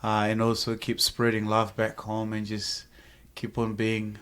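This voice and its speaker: A man's voice: lowish voice, monotone, measured pace, a bit bright, clean tone. Earnest Zimbabwean man on a podcast. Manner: earnest, grateful, joking at times. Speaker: sales team guest. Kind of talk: casual podcast interview.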